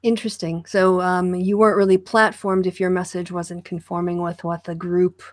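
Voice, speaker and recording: A woman's voice: fairly low pitch, level tone, moderate pace, no echo. Woman hosting, dry close sound. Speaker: host. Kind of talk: live online panel discussion.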